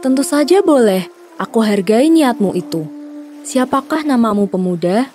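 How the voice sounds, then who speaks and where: A woman's voice: on the high side, lively intonation, normal speed, dark tone, faint music behind, natural voice. A woman playing a gracious, formal princess in a children's folktale drama. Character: gracious, worried young princess ruling her land.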